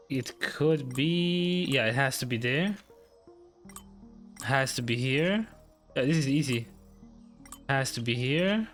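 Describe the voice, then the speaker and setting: A man's voice: mid-range voice, fairly bright tone. A man streaming a video game with casual live commentary, muttering impatiently and swearing.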